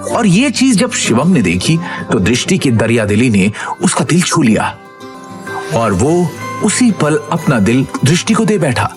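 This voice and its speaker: Animated, higher-pitched male story narrator. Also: measured pace, music in the background. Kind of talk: dramatic scripted Hindi audio story narration.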